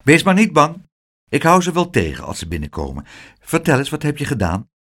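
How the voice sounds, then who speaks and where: A man's voice: brisk, exaggerated voice. Een man die een vriendelijke, kalme oude boekhandelaar voorleest in een kinderverhaal. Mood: kindly. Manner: soothing, low and calm. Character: kind calm old bookseller, gentle man.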